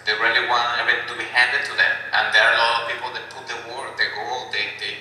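A man's voice: mid-range voice, little pitch movement, fast talker, sharp tone, a little hiss or hum. A man speaking earnestly in a podcast conversation, asking rhetorical questions and often restarting his phrases. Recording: echoey reverberant room, far from mic.

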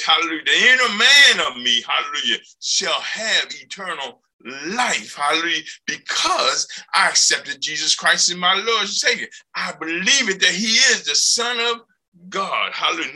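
A man preaching a fervent sermon, punctuating nearly every phrase with hallelujah and swinging between loud and soft. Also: high voice, rough recording.

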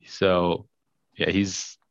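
A man's voice: low-pitched, little pitch movement, very slow, gravelly, clean tone, no echo, muffled recording. A young man, a podcast guest, talking casually in a rambling, upbeat way with lots of fillers. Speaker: podcast guest, crypto investor.

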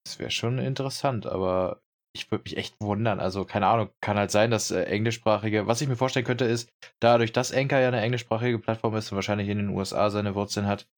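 A man's voice: lowish voice, steady tone, fast. A young man from Brandenburg co-hosting a podcast, chatting casually and rambling with many fillers. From Brandenburg, Germany. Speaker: podcast co-host.

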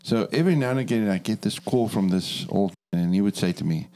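Man, South African accent, middle-aged, lowish pitch, relaxed dark tone, unhurried, self-deprecating interview chat. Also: poor audio. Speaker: interview guest, missionary training director.

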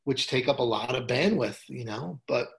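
A man with a gravelly voice, a songwriter chatting casually as a guest, telling rambling stories at home. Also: medium pitch, normal speed. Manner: relaxed, rambling storytelling. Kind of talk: casual chat. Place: at home with guitar.

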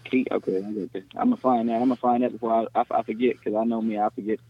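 A man's voice: lowish voice, level tone, quick pace, breathy edge. A man from Texas, a songwriter, chatting casually on a phone call in a laid-back, drawling way. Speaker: songwriter guest. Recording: dull, lo-fi phone line.

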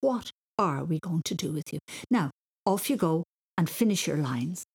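A woman's voice: low-pitched, expressive, moderate pace, breathy edge, exaggerated voice. A woman voicing an exasperated storybook mother, stern and weary as she scolds.

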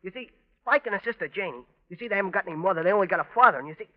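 An actor playing a talkative young city newsboy in an old radio drama, chattering confidingly. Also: male voice, sits high, expressive, very fast. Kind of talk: 1940s radio drama acting. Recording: dull old radio recording.

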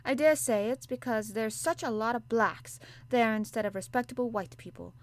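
A woman's voice: fairly high pitch, moderate pace, lightly acted. A woman voicing a blunt, good-natured young housemaid with a broad Yorkshire accent, speaking in homely dialect. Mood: chatty.

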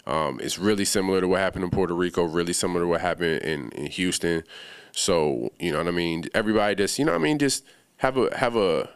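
A young man from the Bahamas talks as a guest on a podcast, relaxed and full of slang. His voice sits very low and comes across smooth and resonant. The room sounds dry and close. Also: fast, no echo. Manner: laid-back, slangy, many fillers. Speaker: music producer guest. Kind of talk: casual podcast interview.

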